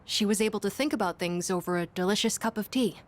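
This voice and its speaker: A woman playing an earnest, gentle young schoolboy in a video game, speaking politely and thoughtfully with some hesitation. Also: mid-range voice, fast talker, a little hiss or hum, close to an everyday voice. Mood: relieved, hopeful. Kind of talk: English video game voice acting.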